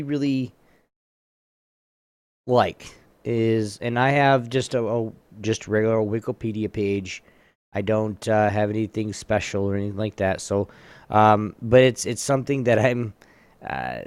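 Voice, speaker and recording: A man's voice: fairly low pitch, no echo. A man hosting a casual gaming talk show, chatting informally and running a trivia game.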